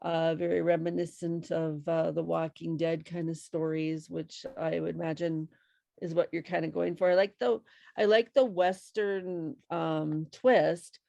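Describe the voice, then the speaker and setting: A woman with a creaky voice giving casual, hesitant feedback on a video call. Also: low-pitched, little pitch movement, measured pace, mellow, dry recording. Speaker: volunteer reader giving feedback. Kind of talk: casual critique chat.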